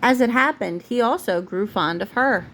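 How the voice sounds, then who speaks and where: A woman's voice: on the high side, expressive, normal speed, a bit bright. Woman reading a bedtime story, noisy recording. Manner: breaks off to answer the child patiently. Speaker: mother reading a bedtime story to a young child. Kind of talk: storybook read-aloud. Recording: home recording with clear background noise.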